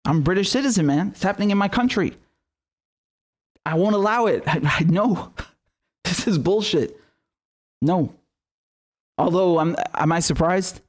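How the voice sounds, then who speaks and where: A young man from Syria hosting a live political commentary stream, ranting passionately and swearing between quick asides. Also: high-pitched.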